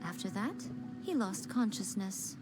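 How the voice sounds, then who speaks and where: A woman's voice: mid-range voice, big pitch swings, unhurried, low-quality recording. A woman voicing a sly, elegant fox-spirit shrine maiden in an anime game, teasing and self-assured. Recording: game music behind the voice.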